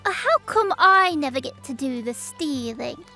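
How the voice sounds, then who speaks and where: A woman's voice: high-pitched, animated, unhurried, sharp tone, soft background music, exaggerated voice. A woman playing a scrappy, reckless young street urchin girl in an audio drama, feisty, defiant and dramatic. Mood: indignant.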